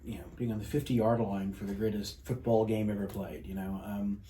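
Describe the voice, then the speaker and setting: Halting man chatting in an echoey room. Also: on the low side, little pitch movement, dark tone. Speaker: actor and playwright. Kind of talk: casual, hesitant interview chat. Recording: echoey room, faint background noise.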